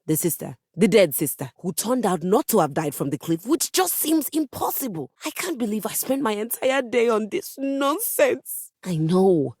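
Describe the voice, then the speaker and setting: A woman's voice: mid-range voice, sing-song, normal speed, hardly any pauses, a little mellow, slightly rough, no echo, clean studio sound. A woman voicing a haughty, melodramatic musical instrument with a composer's snobbery in a video game, speaking with theatrical, pompous emphasis. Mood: dramatic exasperation. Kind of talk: video game character voice acting.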